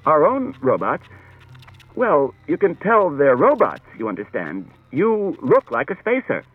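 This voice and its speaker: Casting: narrator voicing a nervous, flustered detective, higher and louder, lively pitch. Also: male voice, slow, husky, no room sound, narrow, tinny audio, soft background music, full character voice. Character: anxious, flustered human detective, middle-aged man.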